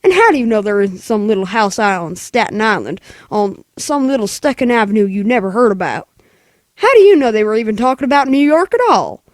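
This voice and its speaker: A woman voicing a gruff, dismissive, weary city police sergeant in a 1940s radio play, with brusque, patronizing delivery. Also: on the high side, very animated, fairly quick, dry recording, studio quality.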